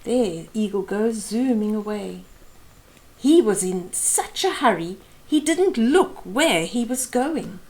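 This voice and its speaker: Slow, animated woman reading to children. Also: medium pitch, low-quality recording. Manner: deliberate, explaining pictures to young listeners. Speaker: children's storytime host. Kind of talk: expressive picture-book read-aloud for children. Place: small indoor room.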